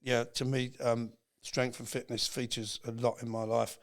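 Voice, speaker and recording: A man's voice: mid-range voice, level tone, moderate pace. A man in his sixties talking casually and plainly as a podcast guest, with emphatic phrasing. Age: 60s. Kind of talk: casual chat.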